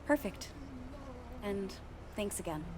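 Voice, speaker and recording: A woman's voice: mid-range voice, very animated, slow, noisy background. An actress playing an earnest young woman who records a documentary, speaking conversationally in a radio play. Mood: curious, polite. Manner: conversational, confiding. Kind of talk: radio play dialogue and confessional narration.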